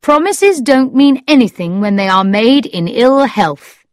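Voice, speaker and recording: Woman as storybook gentleman lord, lifted pitch, emphatic, earnest, hesitant, lovestruck, measured pace. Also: animated, no room sound, clean studio sound. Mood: earnest, loving.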